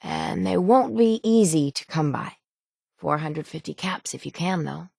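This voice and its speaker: A gruff female harbor shipwright from a video game, speaks in a slightly low voice. She is stubborn and hard-bitten, with a folksy, salty way of putting things. The sound is dry, with no room around it. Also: lively intonation, unhurried, a little mellow, no echo, full character voice. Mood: matter-of-fact. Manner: salty, plainspoken, folksy nautical talk. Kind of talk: video game character dialogue.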